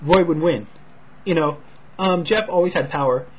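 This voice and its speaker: An American man giving a casual, low-key and hesitant fight preview, not loud and slightly short of breath. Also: normal speed, a little hiss or hum. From Sacramento, California, United States. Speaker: amateur boxing commentator and vlogger. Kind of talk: casual talk to camera, fight preview and prediction. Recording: dull, lo-fi home recording.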